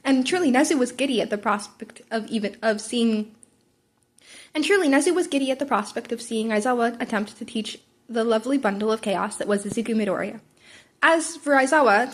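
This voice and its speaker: Higher-pitched woman reading fan fiction aloud, husky. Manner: stumbles and rereads phrases. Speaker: podfic narrator.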